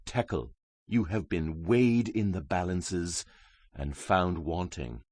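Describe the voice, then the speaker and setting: A solemn, husky, fearless prophet. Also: male voice, low-pitched, slow, a little mellow, dry recording. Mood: grave pronouncement. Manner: declamatory, grave, emphatic. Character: Daniel, solemn fearless male prophet rebuking a king. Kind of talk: dramatized scripture reading.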